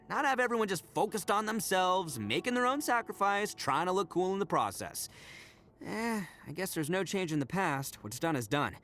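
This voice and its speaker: A loudmouthed young male oni gang boss from a video game. The voice sits high and leaps around theatrically, with a bright, forceful edge. He is slangy, boastful and cocky, yet big-hearted. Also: exaggerated voice. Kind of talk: video game character voice acting.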